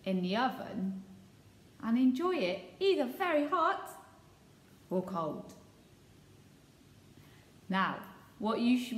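A woman, teaching young children a simple recipe in a slow, sing-song way from her home kitchen. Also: a little high, reverberant. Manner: slow, sing-song, gestures as she explains. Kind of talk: cooking lesson for young children. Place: small home kitchen, indoors. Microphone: webcam or phone at close range.

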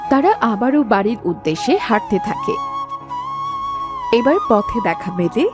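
A woman's voice: fairly high pitch, wildly swooping pitch, normal speed, over music. A woman giving scripted narration for a cartoon folk story.

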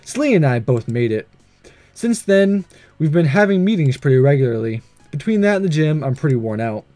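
An earnest teenage schoolboy read by a man thinks aloud in a visual novel, soft and reflective, doubting himself. The voice is fairly high and dry, over faint music. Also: big pitch swings, moderate pace, no room sound. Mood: weary. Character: earnest, self-doubting teenage schoolboy narrating his thoughts.

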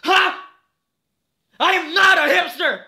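A man's voice: extremely high pitch, slow pace, creaky, pushed and bright, pitched above the performer's own voice, full character voice. A man voicing a volatile, unhinged video game criminal, aggressive and ranting. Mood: furious, taunting.

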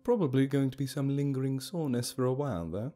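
A man's voice: medium pitch, fast, warm tone, soft background music, voice pushed up, natural voice. A man voicing a cocky, boastful healing goddess in an audio story, breezy and self-satisfied. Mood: smug.

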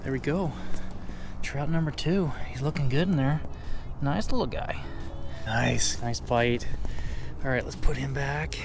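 A man's voice: mid-range voice, measured pace, vocal fry, pauses often, over loud music. An American man in his thirties giving casual, upbeat running commentary as a fishing vlogger, recorded outdoors. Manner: chatty, informal, upbeat. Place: outdoors by a small lake.

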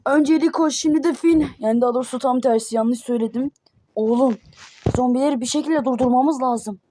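A woman voicing panicky kid characters in a homemade cartoon, with excitable exclamations. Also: high voice, fairly quick, slight background noise. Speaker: cartoon voice performer.

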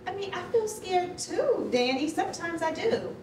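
A woman's voice: very high voice, big pitch swings, moderate pace, a little mellow. An actress playing a loving, comforting mother in a staged children's storybook reading, speaking tenderly and sincerely. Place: concrete rehearsal hall, standing at music stand. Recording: distant roomy stage recording, faint noise.

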